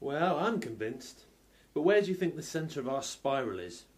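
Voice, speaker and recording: A man playing a sceptical, polite police inspector in a steampunk radio play, with a measured manner. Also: a little high, big pitch swings. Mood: considering.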